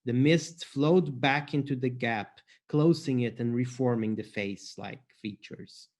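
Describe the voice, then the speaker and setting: A man's voice: mid-range voice, a little slow, darkish tone. A man from Portugal, an author introducing and reading his own fantasy fiction aloud.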